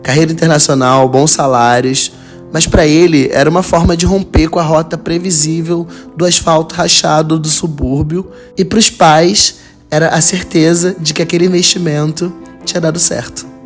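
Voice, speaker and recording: A man with a slightly higher voice tells a travel story as the host of his own podcast. His narration is measured and dramatic, clearly read from a script. Soft guitar plays faintly behind him. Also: fairly even intonation.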